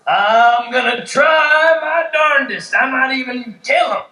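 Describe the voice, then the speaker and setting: A man's voice: extremely high pitch, takes it slowly, sharp tone, recorded from a distance, heavily acted. A man playing a blustering, folksy mayor of a godless Western town in an improvised radio play on stage. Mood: grudging menace. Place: live improv show on a stage. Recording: echoey stage, off the mic.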